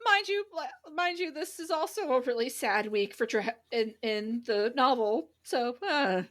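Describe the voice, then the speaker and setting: A woman hosting a casual book chat podcast, expressive and exasperated, swearing freely. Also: high-pitched, measured pace. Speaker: podcast host. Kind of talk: casual chat about a novel.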